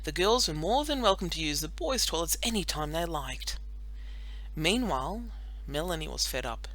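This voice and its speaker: A woman narrating a children's story in an expressive, animated storytelling manner. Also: sits low, moderate pace, rough recording. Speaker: audiobook narrator.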